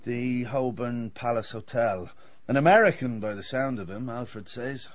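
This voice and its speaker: A man's voice: mid-range voice, a little slow, no echo, close to an everyday voice. Man playing a wry, roguish dentist, dry studio sound. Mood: offhand. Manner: sardonic, flippant, talkative. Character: wry, roguish, hard-drinking male dentist. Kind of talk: radio drama acting.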